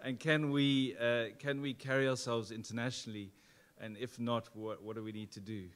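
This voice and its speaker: A man's voice: mid-range voice, flat delivery, moderate pace, crowd noise. A middle-aged man with a South African accent asking an audience question at a stand mic, hesitant and thoughtful. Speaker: audience member. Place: event hall.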